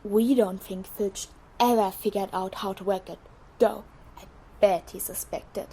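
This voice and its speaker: A woman's voice: mid-range voice, fairly even intonation, a little slow, dark tone, husky, poor audio. A woman playing a mischievous teenage schoolboy in an audio drama, telling things in a playful, theatrical way. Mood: mock-innocent, boastful.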